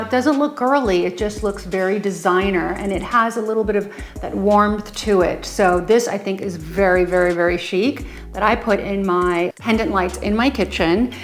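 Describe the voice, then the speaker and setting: A woman talks excitedly through her favorite home finds, at a middling pitch, full of ums and little gushes of praise. Faint music plays softly behind her. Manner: enthusiastic, gushing, many ums. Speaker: home design vlogger. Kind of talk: casual product demo to camera. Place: her home, closet and kitchen.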